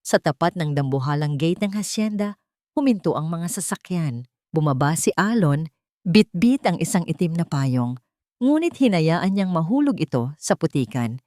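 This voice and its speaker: A woman's voice: low voice, expressive, moderate pace, dark tone, dry recording, studio quality. A woman narrator reading a scripted story in a dramatic, literary manner.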